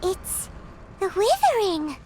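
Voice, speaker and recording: A woman voicing a perky, naive, childlike fairy companion with a squeaky voice in a video game. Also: wildly swooping pitch, slow pace, noisy background, heavily acted. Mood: puzzled. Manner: refers to self in third person, exclamatory.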